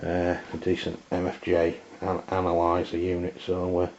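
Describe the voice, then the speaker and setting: A man's voice: low voice, completely flat delivery, darkish tone, a little hiss or hum. A man with an English accent giving an unscripted, hesitant product demonstration in plain words, recorded on a dull lo-fi camera microphone. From England. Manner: hesitant, halting, plain-spoken. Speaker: amateur radio reviewer.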